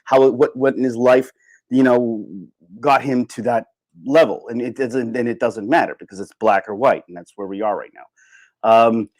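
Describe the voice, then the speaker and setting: A man's voice: mid-range voice, fairly even intonation, normal speed, a little mellow, no echo. Man ranting casually, dry close sound. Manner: emphatic, repeats phrases for emphasis; informal, with occasional swearing. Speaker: livestream host. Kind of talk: casual opinionated livestream monologue.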